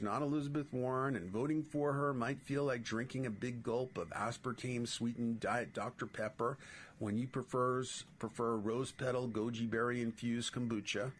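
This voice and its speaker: A man's voice: mid-range voice, slight background noise. A middle-aged man with a New York accent reading his own political essays aloud as a podcast host. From New York City.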